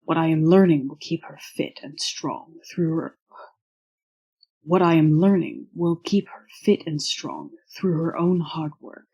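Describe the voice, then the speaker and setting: An actor playing a stiff, earnest young male student in an audio drama, with a formal, precise way of speaking and a husky voice. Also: mid-range voice, fairly even intonation, mellow, dull audio. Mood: earnest, firm. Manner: formal, measured, precise wording without contractions. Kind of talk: audio drama acting of fan fiction.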